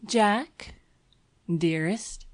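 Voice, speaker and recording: A woman playing an anxious young woman, higher-pitched. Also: very slow, no echo. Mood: affectionate. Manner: pleading, nervous. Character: anxious, tender young woman in wartime Paris. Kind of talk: audiobook character dialogue.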